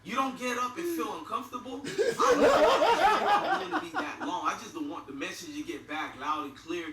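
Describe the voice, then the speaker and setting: A man's voice: high voice, wildly swooping pitch, normal speed, fairly bright tone, a little hiss or hum. A middle-aged man with a Haitian accent, giving a blunt, profane video commentary with mocking laughs. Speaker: YouTube commentator. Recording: echoey room, far from mic.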